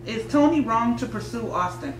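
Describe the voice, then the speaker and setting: A woman's voice: on the high side, expressive, normal speed. Woman hosting a casual group chat, asking questions, echoey, hum and noise behind. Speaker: interviewer. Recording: noisy echoey room with hum.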